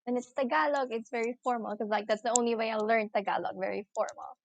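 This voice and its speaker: A woman guest on a podcast, telling lively stories in casual chat. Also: a little high, fast talker, creaky, a little hiss or hum.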